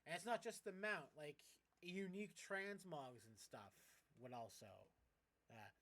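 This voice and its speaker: A man's voice: high-pitched, expressive, a little slow, a bit bright. A man streaming a game, explaining things casually in a rambling, emphatic way with repeated points. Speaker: gaming streamer. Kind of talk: casual gameplay chat, explaining.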